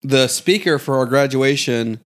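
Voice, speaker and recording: A man's voice: mid-range voice, unhurried. A man from the United States hosting a casual comedy chat, rambling and poking fun at himself. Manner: rambling, self-mocking.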